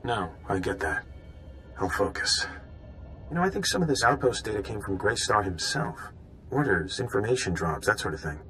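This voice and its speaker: An actor playing an anxious, conscientious undercover informant in a video game, hesitant and deferential. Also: male voice, lowish voice, sing-song, normal speed, sharp tone, soft background music. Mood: subdued, dutiful.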